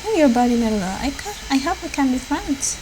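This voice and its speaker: A woman's voice: sits high, animated, a little fast, voice pushed up. A woman voicing a sweet, eager young witch in a visual novel, gushing, earnest and apologetic. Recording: clear background noise.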